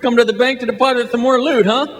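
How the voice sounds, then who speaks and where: An actor playing an absent-minded, flustered older uncle in a staged radio drama. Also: male voice, very high voice, fairly even intonation, fast talker. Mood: jovial, teasing. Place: large church hall. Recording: echoey hall, music behind.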